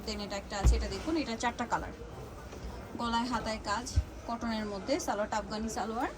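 A woman's voice: on the high side, steady tone, quick pace. A woman showcasing products on camera, repeating details and prompting a seller with questions. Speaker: presenter of a clothing shopping guide video. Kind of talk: live product showcase commentary. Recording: clearly noisy busy shop ambience.

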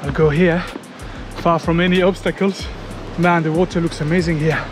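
A man in his thirties from France, vlogging casually outdoors on a jungle trail with a handheld action camera, chatty and excitable, sweaty and a little out of breath from climbing. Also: a little high, moderate pace, music in the background. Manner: chatty and excitable, talks to viewers as guys, swears. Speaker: travel vlogger. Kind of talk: casual vlog commentary. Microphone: handheld action camera at arm's length.

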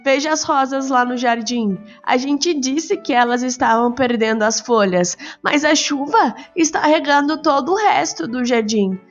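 A woman voicing a gentle, reflective mother talking to her son in a children's bedtime story. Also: high voice, measured pace, few pauses, dull audio, faint music behind. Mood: reflective, consoling.

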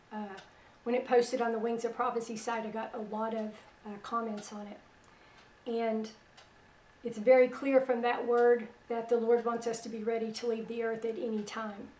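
A middle-aged woman hosting religious internet radio. Her voice is weak after a stroke, and she talks in a soft, plain-spoken, unhurried way. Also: on the high side, roomy sound, recorded from a distance, a little hiss or hum, low-quality recording. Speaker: radio host. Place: small room at home, indoors. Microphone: headset.

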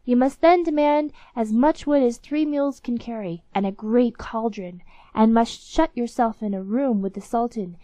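A woman's voice: a little high, normal speed, dark tone, dry recording, close to an everyday voice. A woman voicing an old ragged beggar woman in a storybook tale, emphatic in the way she pleads and instructs. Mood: commanding, instructive. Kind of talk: storybook character voice.

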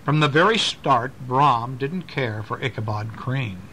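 A man's voice: medium pitch, a little slow, sharp tone. A man reading a story aloud to a small child, expressively, breaking off to explain things and ask questions. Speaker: parent reading a bedtime story. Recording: noisy amateur home recording.

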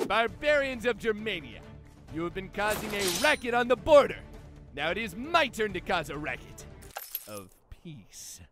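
A man's voice: high-pitched, sing-song, moderate pace. A man playing a pompous, literal-minded young knight in an anime parody dub, speaking in a grandiose, formal, theatrical way. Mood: boastful. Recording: music behind.

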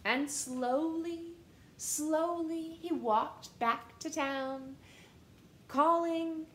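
A woman in her thirties reads a picture book to young children, slow and expressive. Her voice sits a little high. She speaks from a couch at home, recorded on the camera mic, with a roomy echo and faint hiss. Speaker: storytime reader. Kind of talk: children's read-aloud. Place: living room, seated on a couch. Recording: echoey room with faint hiss.

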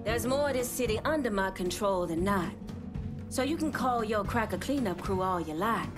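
A woman's voice: mid-range voice, expressive, moderate pace, natural voice. An actress playing a cool, self-assured female crime boss, challenging and defiant, in a video game. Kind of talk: dramatic video game acting. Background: music.

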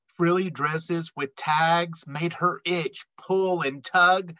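A middle-aged man reading a children's picture book aloud expressively, with emphatic, dramatic phrasing and deliberate pauses. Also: fairly high pitch, fairly even intonation, bright, forceful tone, no echo, lo-fi sound. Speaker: read-aloud storyteller.

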